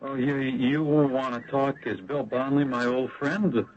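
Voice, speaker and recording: A man's voice: medium pitch, unhurried, close to an everyday voice. A man playing a troubled, wary loner in an old radio drama, speaking in a subdued, hesitant way. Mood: wary, nostalgic. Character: wary, troubled male drifter, old friend of sheriff. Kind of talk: 1980s radio drama acting. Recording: dull, noisy old radio broadcast.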